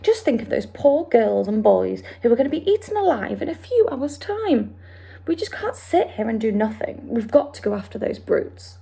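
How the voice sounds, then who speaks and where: A woman's voice: on the high side, very animated, normal speed, vocal fry, warm tone, breathy edge, exaggerated voice. A woman voicing a brave young orphan girl who pleads and argues with indignation. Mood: indignant.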